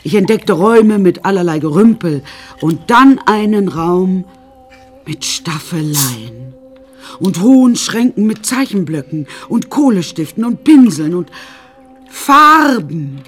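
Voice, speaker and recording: An older woman in a radio drama, recounting a grim life with dry, imperious wit. She takes her time over each phrase and swings widely in pitch, confiding and theatrical. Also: big pitch swings, husky, exaggerated voice. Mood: wry recounting. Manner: wry, theatrical, drawn-out confiding delivery. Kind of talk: radio drama first-person narration.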